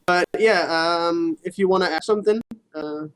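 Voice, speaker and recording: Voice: medium pitch, normal speed, clear, resonant tone, rough recording. A co-host of a gaming stream chatting casually about esports in an informal way, swearing freely.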